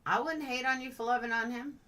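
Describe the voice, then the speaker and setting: A woman's voice: a little high, fairly even intonation, a little fast, pushed and bright, distant mic. A woman live-streaming in a blunt, chatty, playful way, casually answering comments as they come in.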